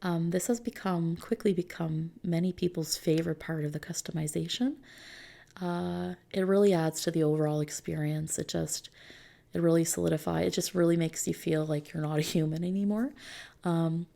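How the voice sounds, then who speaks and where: Low, mellow, creaky woman doing soft ASMR. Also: fairly even intonation. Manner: unhurried, gently explanatory, reassuring to the customer. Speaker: ASMR roleplayer playing a customization consultant. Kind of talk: ASMR roleplay, soft customer-service talk.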